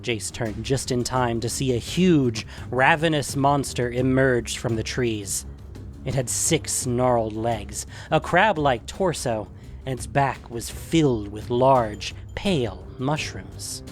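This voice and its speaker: A man's voice: medium pitch, takes it slowly, faint music behind. A man narrating a scripted fantasy adventure story.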